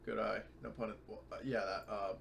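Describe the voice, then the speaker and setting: A man's voice: on the low side, fairly even intonation, a little fast, gravelly, fairly bright tone, a little hiss or hum. A man chatting casually as a player in a tabletop roleplaying game, hesitantly working through rules aloud.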